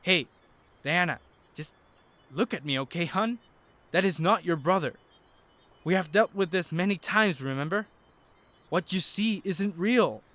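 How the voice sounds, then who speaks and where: Man acting a shy young guy, slightly high pitch, earnest, halting, audio drama dialogue. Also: expressive, fairly bright tone. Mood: worried, urgent. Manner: earnest, hesitant. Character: John, devoted young husband, also shy teenage student.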